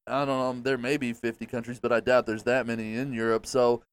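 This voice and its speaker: Rambling, animated podcast host. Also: male voice, medium pitch, fast talker, smooth. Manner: rambling, animated, swears freely. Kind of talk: casual chat.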